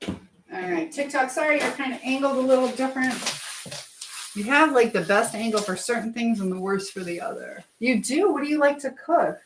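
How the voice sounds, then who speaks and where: A woman's voice: high-pitched, lively intonation, a little fast. A woman chatting casually to livestream viewers while she cooks at home, distracted and hopping between tasks and comments. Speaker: live streamer. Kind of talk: casual chat with live viewers while cooking. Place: home kitchen. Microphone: phone livestream, moving nearer and farther. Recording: echoey kitchen with faint background noise.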